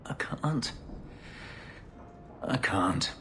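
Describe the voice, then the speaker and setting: An actor playing a haunted, guarded former slave, an elf warrior who speaks in a measured, formal, restrained way, in video game dialogue. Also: male voice, bright, forceful tone, whispered. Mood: anguished.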